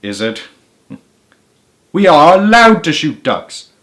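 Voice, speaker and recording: A man's voice: on the high side, very animated, takes it slowly, fairly bright tone, voice pushed up, heavily acted. A man voicing a pompous, self-assured father in a children's story he reads aloud. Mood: blustering. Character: blustering, self-assured father who hunts ducks.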